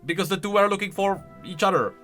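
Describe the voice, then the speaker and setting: A man giving casual reaction commentary as a video host, enthusiastic and halting as he thinks aloud. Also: high voice, sharp tone, faint music behind.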